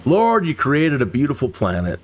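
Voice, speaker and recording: A man's voice: mid-range voice, huge pitch swings, moderate pace. A man hosting a flight simulator livestream, chatting casually and musing aloud as he flies. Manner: musing, speaks thoughts aloud while flying. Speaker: livestream host. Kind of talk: casual chat while flying a sim.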